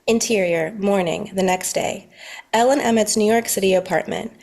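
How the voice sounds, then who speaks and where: A woman's voice: mid-range voice, moderate pace, darkish tone. A woman reading stage directions as the narrator of a script table read.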